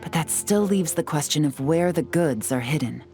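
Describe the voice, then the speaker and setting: Game dub: a confident young spy, low voice, calm, composed and quietly teasing. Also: female voice, normal speed. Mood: cool. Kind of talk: video game cutscene dub. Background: faint game music.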